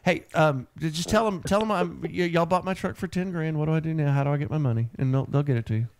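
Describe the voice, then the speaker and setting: A man's voice: a little high, a little hiss or hum. A man in his fifties hosting a call-in radio show, brash and teasing, talking into a studio mic in a home studio. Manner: brash, teasing, quick asides. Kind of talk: joking radio call-in banter. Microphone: large studio mic on boom arm.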